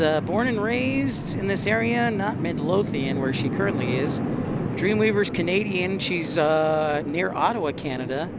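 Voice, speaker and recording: Male livestreamer from Texas, higher-pitched, chatty and halting, recorded outdoors amid noticeable vehicle noise. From Texas, United States. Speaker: livestream host. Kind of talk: casual live commentary, reading and answering chat. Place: outdoors near an airport.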